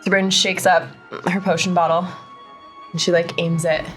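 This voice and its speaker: A woman's voice: lowish voice, little pitch movement, moderate pace, low-quality recording. A woman chatting casually as a player in a tabletop game, describing what her character does. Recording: loud cinematic music underneath.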